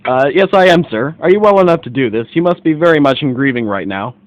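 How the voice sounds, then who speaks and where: A man playing a brisk, formal, self-assured private detective in a radio mystery. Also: a little high, lively intonation, dry recording, lo-fi sound, lightly acted. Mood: firm, formal. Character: brisk, observant American gentleman private detective. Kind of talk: radio mystery play acting.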